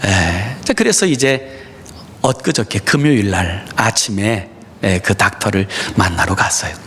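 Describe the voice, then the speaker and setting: A man's voice: fairly low pitch, animated, unhurried, fairly bright tone, slightly rough. A middle-aged man preaching a sermon as a pastor, talking in a personal, earnest way to his congregation, with many "uh" fillers. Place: church sanctuary.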